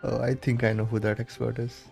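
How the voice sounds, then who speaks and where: A man's voice: mid-range voice, level tone, fairly quick, vocal fry, darkish tone. A man giving casual live-stream commentary while playing a game. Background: game music.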